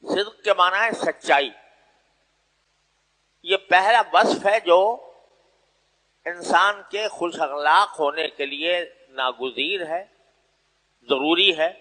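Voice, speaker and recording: A man delivers a religious sermon in a somewhat high-pitched voice that rings out with long hall reverb. He explains formally and humbly, setting out his points in short, deliberate phrases with pauses between them. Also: sharp tone. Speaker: Islamic preacher addressing listeners. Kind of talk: sermon, unscripted religious exposition.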